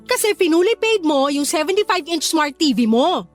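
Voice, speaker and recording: A woman's voice: high voice, expressive, moderate pace, soft background music. A woman with a Filipino accent playing a thrifty middle-aged neighbor who needles slyly in a radio drama. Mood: mocking, teasing. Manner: sly, mock-sympathetic teasing.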